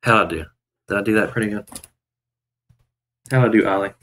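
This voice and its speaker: A man's voice: lowish voice, a little fast, a bit bright. A man in his thirties chatting casually on a day-trading live stream from home, rambling with restarts and fillers. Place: home room, indoors. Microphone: headset.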